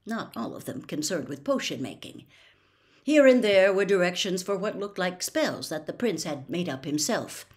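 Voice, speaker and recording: A woman reading a story aloud in an expressive narrating style. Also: on the low side, normal speed. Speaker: audiobook reader.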